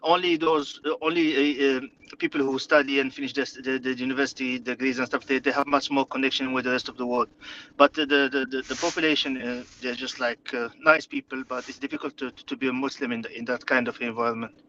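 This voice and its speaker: A man's voice: medium pitch, steady tone, fairly quick, pushed and bright, dull audio, slight background noise. A man from Morocco whose first language is Arabic, speaking hesitantly with many fillers and restarts as an interview guest. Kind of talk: conversational interview.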